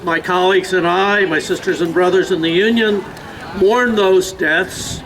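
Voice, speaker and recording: Man giving a union rally speech outdoors. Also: sits high. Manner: measured and earnest, with occasional fillers. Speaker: union representative. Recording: distant mic outdoors with crowd.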